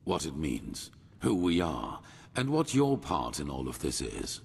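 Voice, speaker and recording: Grave old order leader for a fantasy game: gravelly, expressive, formal and measured. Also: male voice, extremely low pitch, a little hiss or hum, exaggerated voice. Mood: grave, resolute. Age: older. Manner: solemn, formal, measured storytelling. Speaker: video game character. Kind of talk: dramatic fantasy game dialogue.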